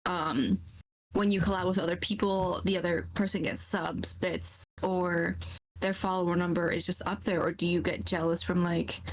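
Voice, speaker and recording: A woman co-hosting a casual podcast, bubbly and quick as she asks questions and laughs. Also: on the low side, little pitch movement, slight background noise, rough recording. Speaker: podcast co-host.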